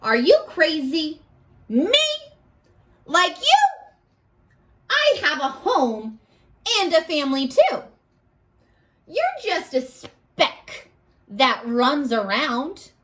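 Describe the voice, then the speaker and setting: A bossy storybook kid as a woman reads the part, talking down to someone tiny. The delivery is slow and emphatic, with taunting stretched words and big swings in pitch. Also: sing-song, fairly bright tone, heavily acted. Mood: scornful. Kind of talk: picture book read-aloud for young children.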